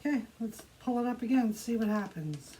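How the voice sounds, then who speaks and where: A woman's voice: on the high side, animated, dark tone, poor audio. A woman hosting an art tutorial, chatting casually and narrating each step as she works. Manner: unhurried step-by-step narration of own actions. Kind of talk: casual craft demonstration, talking while working. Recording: clearly audible background noise.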